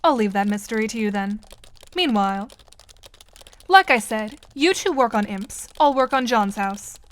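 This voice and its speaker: A woman's voice: fairly high pitch, lively intonation, moderate pace, close to an everyday voice. A woman playing a composed teenage girl with a dry, formal, sardonic manner in an audio drama.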